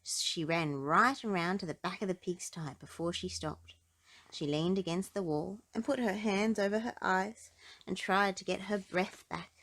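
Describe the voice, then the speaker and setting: A woman reading a children's story aloud in an expressive, dramatic storytelling style. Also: on the low side. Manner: dramatic, animated delivery with emphasis. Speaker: storybook narrator.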